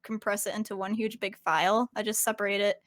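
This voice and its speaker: A woman's voice: medium pitch, flat delivery, fast, a bit bright, no echo, studio quality. A woman who voice acts and edits, chatting casually about her work. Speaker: fan dub voice actor and editor. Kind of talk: casual chat.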